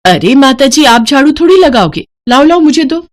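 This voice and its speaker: A woman's voice: high-pitched, sing-song, moderate pace, voice pushed up. A woman voicing a meek, respectful, hardworking housemaid in a moral story cartoon. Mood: deferential. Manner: respectful, deferential.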